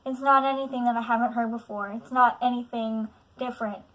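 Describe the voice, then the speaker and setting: Young woman vlogger, late teens, nasal with a lisp, slightly high voice, halting and candid with lots of fillers. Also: steady tone, a bit bright. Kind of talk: personal confessional vlog.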